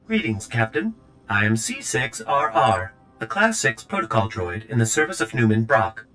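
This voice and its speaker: An actor playing a fussy, servile protocol droid with a formal, precise, polite manner in a video game. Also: male voice, lowish voice, sing-song, moderate pace, pushed and bright, clean tone, faint music behind.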